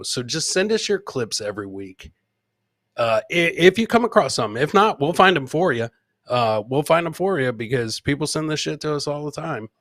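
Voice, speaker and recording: A man's voice: medium pitch, a bit bright, dry recording, studio quality. A man hosting a podcast, chatting casually and informally with swearing and plenty of filler words. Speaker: podcast host. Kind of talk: casual live comedy chat, reading and answering viewer comments.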